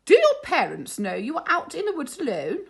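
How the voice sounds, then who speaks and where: A woman's voice: high voice, huge pitch swings, brisk, vocal fry, voice pushed up, full character voice. A woman voicing a bossy, fussy hen leader in a firm, commanding storybook manner. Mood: stern.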